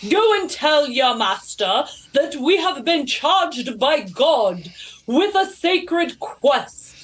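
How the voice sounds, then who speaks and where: A woman voicing a dignified legendary king, speaking in formal, measured proclamations during an amateur comedy read-through. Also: high-pitched, heavily acted, low-quality recording.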